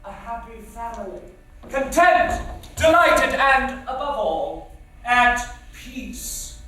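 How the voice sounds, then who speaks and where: An actor playing an exuberant, bossy young theatre coach, speaking in a florid, declamatory, formal stage manner. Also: male voice, very high voice, lively intonation, slow, sharp tone, exaggerated voice. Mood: rousing. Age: young adult. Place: theatre stage in live production. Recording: echoey theatre stage, distant mic, faint hiss.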